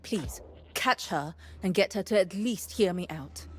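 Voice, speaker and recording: Earnest sage, very expressive pitch. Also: female voice, unhurried, husky. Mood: earnest concern. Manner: earnest, explanatory, concerned. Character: cautious, earnest female botanist sage of long experience. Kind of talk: video game character dialogue.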